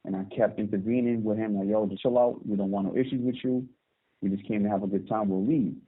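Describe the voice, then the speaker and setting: A man in his thirties from Barbados, calling in from prison and telling his story calmly, with hesitant restarts. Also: lowish voice, fast. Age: 30s. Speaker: guest. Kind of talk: phone interview. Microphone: phone call. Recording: tinny phone line.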